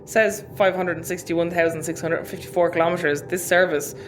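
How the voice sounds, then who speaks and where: A woman's voice: on the low side, little pitch movement, a little fast, background noise, close to an everyday voice. A woman playing a flustered, blunt spaceship first officer in a sci-fi audio comedy. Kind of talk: naturalistic audio comedy acting.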